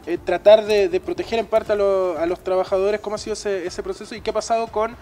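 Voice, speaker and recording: High-voiced male reporter out on location. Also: steady tone, fast, mellow. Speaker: field news reporter. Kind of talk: live interview questioning. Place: outdoors at a restaurant terrace. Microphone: handheld reporter microphone. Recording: outdoor street noise with traffic.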